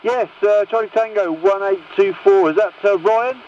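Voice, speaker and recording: A man's voice: sits high, moderate pace. A man chatting casually as he runs a check-in over CB radio. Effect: CB radio transmission. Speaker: CB radio operator running a check-in list. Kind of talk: casual radio net chat. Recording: noisy, muffled CB radio signal.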